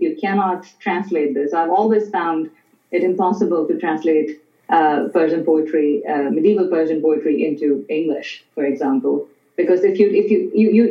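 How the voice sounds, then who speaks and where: Guest scholar, Urdu, measured, dull lo-fi audio. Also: mid-range voice. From Pakistan. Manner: measured, explanatory. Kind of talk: conversational interview. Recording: distant, roomy, dull remote audio.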